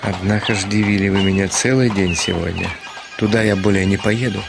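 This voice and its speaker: Low-voiced, weary, irritable gentleman. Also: fairly even intonation, fairly quick, gravelly, music in the background. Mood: firm, challenging. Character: weary, irritable gentleman, curt with an unwelcome rival. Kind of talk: Russian radio drama acting.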